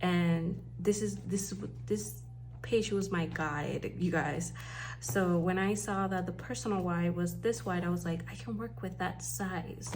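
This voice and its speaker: Gravelly Puerto Rican woman, chatty vlog. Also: lowish voice, measured pace, darkish tone, slight background noise. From Puerto Rico. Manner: chatty, rambling, self-correcting. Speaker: planner vlogger, stay-at-home mom. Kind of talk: casual unboxing vlog.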